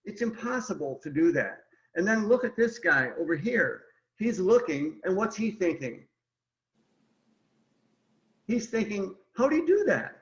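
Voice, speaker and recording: A man's voice: high-pitched, very animated, a little slow, fairly bright tone. A man, an illustrator being interviewed, explains his ideas step by step in casual talk, speaking straight to his listeners. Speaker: picture book illustrator, guest. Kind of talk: casual explanatory chat about art. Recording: roomy echo, slightly dull lo-fi sound.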